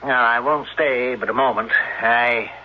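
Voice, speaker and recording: A man's voice: mid-range voice, fairly even intonation, a little slow, natural voice. Earnest newspaperman played by a man, dull old radio. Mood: polite, inquiring. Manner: courteous, earnest, plain-spoken. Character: polite, earnest small-town newspaperman, younger man. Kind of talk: old-time radio drama acting and narration. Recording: dull, band-limited old radio recording.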